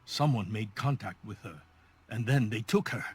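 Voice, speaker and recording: A man's voice: fairly low pitch, expressive, normal speed, husky. An actor playing an anxious middle-aged father in a video game, strained and urgent about his family. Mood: distraught, insistent. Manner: urgent, insistent, agitated. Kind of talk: dramatic video game voice acting.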